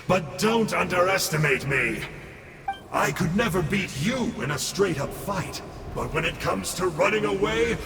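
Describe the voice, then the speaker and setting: A slippery villain clerk in a game, his voice gravelly and lively as he quips sarcastically. The sound is roomy with a long reverb, music playing clearly underneath. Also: sits high, exaggerated voice. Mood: taunting. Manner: glib, sarcastic. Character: sly cowardly clerk villain, flippant and wry.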